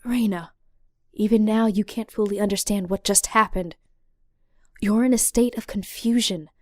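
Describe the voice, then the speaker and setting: A caring, firm female healer, played by a woman in an audio drama. The voice is mellow and recorded very dry, with a middle pitch. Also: fairly even intonation, normal speed, no echo, natural voice. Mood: concerned.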